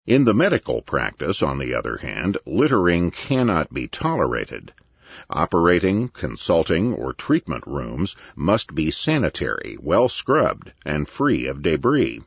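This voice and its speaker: A man reading a nonfiction essay as an audiobook narrator, with measured, deliberate and emphatic phrasing and a gravelly, husky voice. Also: very deep voice, wildly swooping pitch, no room sound, highs cut off.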